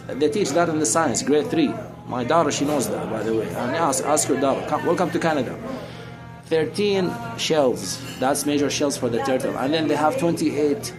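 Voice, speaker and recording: Middle-aged male, from Syria. Unscripted, preachy street-talker delivery with rambling repetition, guitar music clearly behind. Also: fairly high pitch. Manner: rambling, repeats phrases for emphasis; preachy, addresses listener as brother. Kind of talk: casual, unscripted explaining in conversation.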